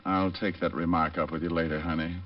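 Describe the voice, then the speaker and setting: Low-voiced male actor as a flippant, slangy gumshoe for vintage radio drama; dull, distant recording. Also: level tone, brisk, over loud music, natural voice. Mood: wry. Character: wisecracking, happy-go-lucky male private detective, cocky and flirtatious. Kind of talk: 1940s-50s radio detective drama, first-person narration.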